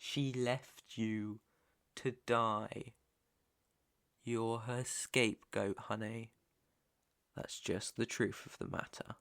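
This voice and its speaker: A British man playing a menacing, teasing mob boss's son in an intimate ASMR roleplay, with a deliberate, taunting delivery. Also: mid-range voice, little pitch movement, a bit bright. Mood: angry, harsh. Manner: slow, deliberate, taunting, swearing. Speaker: roleplay audio performer.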